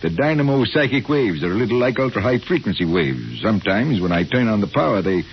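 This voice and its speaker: A man's voice: lowish voice, big pitch swings, fairly quick. An earnest, fussy professor in a vintage radio drama, troubled and idealistic. His intonation swings widely, and his formal phrases come through a muffled, noisy old recording. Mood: apologetic, troubled. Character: anxious, idealistic professor with frightening psychic powers. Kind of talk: 1950s radio drama acting.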